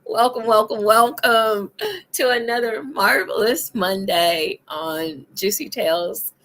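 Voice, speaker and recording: A woman hosting a casual adult chat show, hoarse and deep-voiced from being sick, laughing and coughing as she talks. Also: slow, a bit bright. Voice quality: deep, rough voice. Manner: laughs often, coughs between lines. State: hoarse from illness. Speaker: host.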